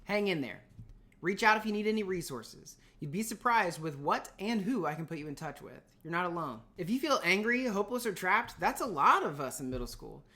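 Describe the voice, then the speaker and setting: A woman's voice: on the low side, sing-song, a little fast, sharp tone. A woman teaching an informal scripted lesson for middle schoolers, chatty and playful with emphatic asides.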